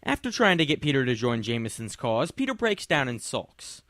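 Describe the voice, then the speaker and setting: A man's voice: mid-range voice, sing-song, moderate pace, a bit bright, dry recording, studio quality. A young man reading a sarcastic, mocking review of a comic, with snarky asides.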